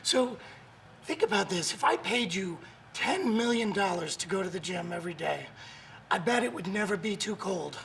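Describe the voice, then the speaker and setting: Hoarse man, high-pitched voice, gravelly, emphatic motivational coach, studio. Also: lively intonation, normal speed, a bit bright, slight background noise. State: hoarse from laryngitis. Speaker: author and coach, guest. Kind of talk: motivational talk on a morning show.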